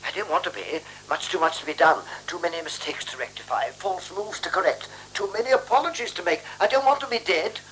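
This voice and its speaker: Frightened victim in 1970s radio drama; halting, intense, very animated, faint hum behind. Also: male voice, high-pitched, low-quality recording. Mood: desperate regret. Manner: halting, brooding, intense monologue delivery. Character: beaten, frightened man haunted by his robbery.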